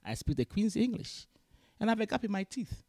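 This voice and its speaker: A woman with a Ghanaian accent tells her story in an easy mix of English and Twi, and she voices each exchange with lively energy. Her voice is gravelly and full of fry. The sound is dry and close, with no room echo. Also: medium pitch, fairly quick. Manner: switches freely between English and Twi, animated in retelling dialogue. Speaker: guest. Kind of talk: casual storytelling interview. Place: Canada.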